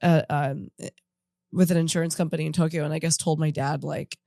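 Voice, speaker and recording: A woman's voice: sits low, fairly even intonation, brisk, gravelly, dark tone, no room sound, clean studio sound. A woman in her thirties who grew up in Japan, a comedian guest telling stories in a rambling, self-interrupting way with frequent laughs. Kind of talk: casual podcast conversation. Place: in-studio podcast.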